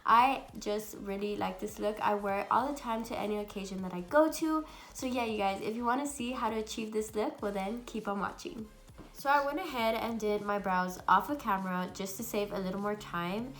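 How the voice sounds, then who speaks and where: A young woman with a raspy, congested voice from a cold, chatting bubbly through a makeup tutorial at home. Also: medium pitch, brisk. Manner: chatty and bubbly, many fillers. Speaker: beauty vlogger. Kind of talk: casual makeup tutorial chat.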